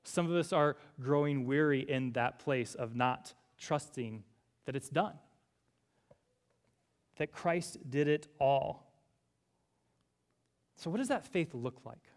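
A man's voice: a little high, takes it slowly, pauses often. A male pastor preaching a sermon to a congregation in a church room, earnest and building through repeated phrases.

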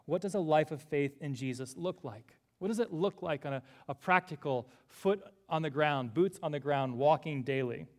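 Guy preaching to his congregation, earnest, lots of repeated lines, bit of a higher voice. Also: normal speed, hardly any pauses, darkish tone. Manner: earnest, builds with repeated phrases. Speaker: pastor. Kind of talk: sermon. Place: church room before a congregation.

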